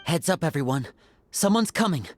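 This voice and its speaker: A woman playing a calm, pragmatic, guarded adventurer in a video game, speaking in a composed, serious way. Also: low voice, normal speed, faint music behind. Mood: alert, guarded.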